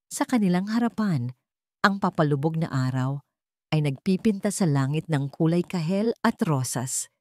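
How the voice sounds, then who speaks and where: Expressive woman narrating a romance. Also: medium pitch, no echo, studio quality. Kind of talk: scripted romance story narration.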